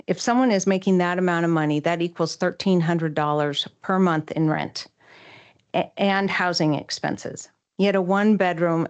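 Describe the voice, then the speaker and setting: A middle-aged woman giving a prepared public comment to a city council, measured and citing figures earnestly. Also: on the low side, dull audio.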